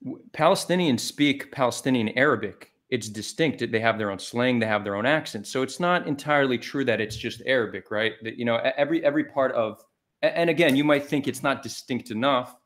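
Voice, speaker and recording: A man in his thirties moderates an online debate in a mid-pitched voice. He talks hesitantly, stopping and restarting, and keeps a conciliatory tone, heard over a webcam call from home. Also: brisk, fairly bright tone. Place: home room. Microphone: webcam video call.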